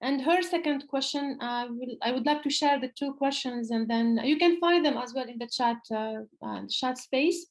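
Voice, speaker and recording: A woman's voice: sits high, moderate pace, few pauses. A woman coordinating an online academic event, moderating the Q&A and reading audience questions aloud in a friendly, accommodating way. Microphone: video call.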